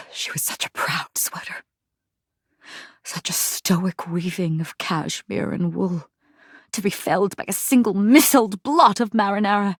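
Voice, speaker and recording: A woman's voice: lowish voice, no echo, clean studio sound, close to an everyday voice. A woman voicing a proud, tragic warrior general in a video game, declaiming in archaic, mock-Shakespearean speech with haughty anguish. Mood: broken, hushed grief. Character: grandiose, tragically proud warrior general, a laundry detergent box.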